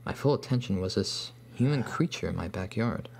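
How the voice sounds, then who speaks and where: Low gravelly guy narrating a creepy story, deliberate and ominous, faint hum. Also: animated, darkish tone. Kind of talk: scripted first-person horror story narration.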